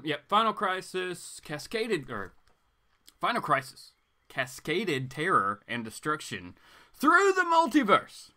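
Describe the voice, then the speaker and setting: A man's voice: on the high side, bright, forceful tone. A man hosting a casual comics livestream, rambling informally and turning theatrical when he reads aloud. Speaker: host of a comics reading livestream. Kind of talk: casual livestream chat with dramatic read-aloud bits.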